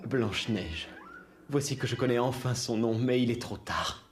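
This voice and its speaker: A man's voice: medium pitch, moderate pace, noisy background, natural voice. Man playing an earnest, lovestruck young prince. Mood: grieving. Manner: earnest, theatrical, formal. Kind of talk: dubbed fairy-tale cartoon acting.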